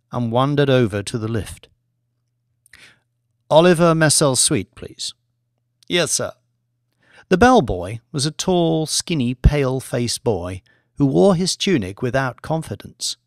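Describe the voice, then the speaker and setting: A man narrating a thriller audiobook from a script in a measured, dramatic storytelling manner. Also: mid-range voice, dry recording, clean studio sound.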